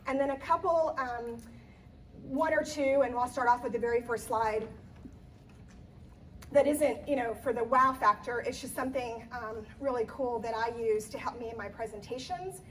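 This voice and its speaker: A woman event planner, slightly hoarse, giving a chatty live talk in a conference room with many ums and restarts. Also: fairly high pitch, fairly even intonation, moderate pace, far from the mic, a little hiss or hum, low-quality recording. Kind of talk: live presentation to an audience.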